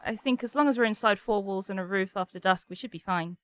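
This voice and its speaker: A woman's voice: medium pitch, fast talker, fairly bright tone, no room sound, lightly acted. A woman playing a practical, protective knight bodyguard in an audio drama, casual and dryly matter-of-fact. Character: practical, wry female knight bodyguard, protective, grumbles amiably. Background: music.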